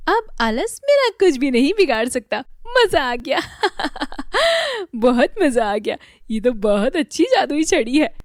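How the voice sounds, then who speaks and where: A woman voicing a lazy young village boy who whines in a high, sing-song voice. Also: sing-song, takes it slowly, dry recording, clean studio sound, full character voice. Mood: gleeful.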